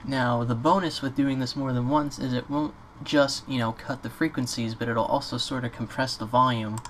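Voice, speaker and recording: Male tutorial presenter, average pitch, informal and unscripted, noisy desktop recording with typing. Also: fairly even intonation, moderate pace. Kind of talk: casual screen-recorded software tutorial. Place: indoors at a computer. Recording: clear background noise, keyboard clicks.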